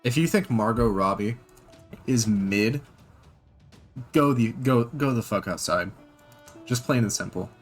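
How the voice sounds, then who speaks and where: A man giving casual reaction commentary, halting with ums and restarts, blunt and profane. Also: mid-range voice, animated, husky, faint music behind. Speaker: reaction channel host.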